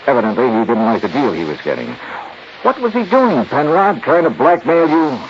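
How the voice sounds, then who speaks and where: A man's voice: mid-range voice, wildly swooping pitch, moderate pace. An American man acting a shrewd, hard-boiled investigator in a 1950s radio drama, with a clipped, confident, sardonic delivery. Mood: accusing, confident. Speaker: lead actor and narrator. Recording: muffled, noisy 1950s radio recording.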